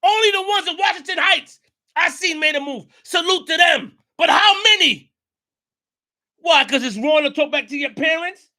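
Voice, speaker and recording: A man delivers a passionate monologue on his own show. His voice sits very high and cuts sharply, and he hammers his points home with repetition and bursts of shouting. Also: animated, normal speed. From New York. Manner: emphatic, repetitive, rising to shouts. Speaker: show host. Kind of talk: impassioned commentary monologue.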